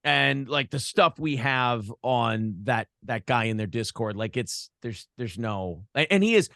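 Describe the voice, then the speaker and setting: A man's voice: mid-range voice, a little slow, dry recording. Guy on a podcast swapping stories, jokey and sweary, dry close sound. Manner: animated storytelling, profane, joking. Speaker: interview guest, broadcaster. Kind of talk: casual conversational interview.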